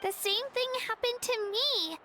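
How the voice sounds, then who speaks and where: A woman playing a gentle, earnest young girl in an anime game, with a girlish voice. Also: extremely high pitch, animated, measured pace, background noise. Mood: sad, tender. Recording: faint background ambience.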